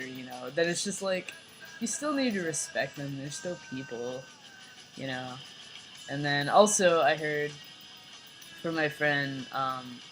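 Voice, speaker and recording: A young man with a light, fairly high voice talking in a rambling, casual vlog, full of "like" and "you know". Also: fairly bright tone, poor audio. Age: young adult. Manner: rambling, filler-heavy, lots of "like" and "you know". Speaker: vlogger.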